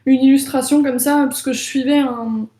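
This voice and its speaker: A woman's voice: sits high, fairly even intonation, moderate pace, dark tone, roomy sound. A young French woman, an illustrator, chatting casually in an interview with many fillers and restarts. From France. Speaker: illustrator and author.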